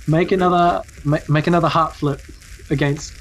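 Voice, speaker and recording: A woman's voice: low voice, normal speed, poor audio. A woman, casually improvising the narration of a tabletop role-playing game as game master. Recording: clear steady sizzling background noise.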